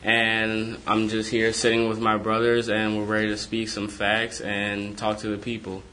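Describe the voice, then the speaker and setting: A man's voice: fairly low pitch, completely flat delivery, moderate pace, pushed and bright, slight background noise, low-quality recording. An eighteen-year-old man co-hosting a talk, speaking earnestly about his faith. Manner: earnest, sincere testimony. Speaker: podcast co-host. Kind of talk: casual faith conversation.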